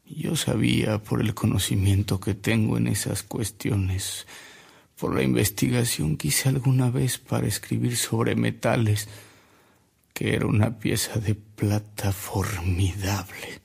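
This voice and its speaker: An actor playing a frail, remorseful old man who narrates his past in a radio drama, slowly and reflectively, with a husky, gravelly voice. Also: mid-range voice, darkish tone, heavily acted. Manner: slow, reflective, with coughs and pauses.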